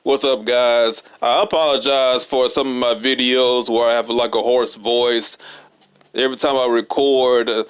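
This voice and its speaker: Hoarse man rambling with many fillers. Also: mid-range voice, level tone. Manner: halting, many fillers and restarts. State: hoarse from a cold. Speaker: vlogger. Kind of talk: casual rambling monologue. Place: at work during downtime.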